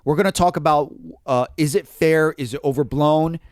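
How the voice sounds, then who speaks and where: Male podcast co-host, animated talker, crisp voice, dry close-miked sound. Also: a little high, moderate pace, no room sound. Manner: animated, quotes people in acted asides. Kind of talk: casual podcast discussion.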